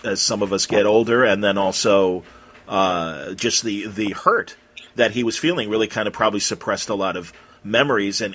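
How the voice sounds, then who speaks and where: A man hosting a casual interview, asking rambling questions in a halting, conversational way. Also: lowish voice, a bit bright. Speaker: podcast interviewer.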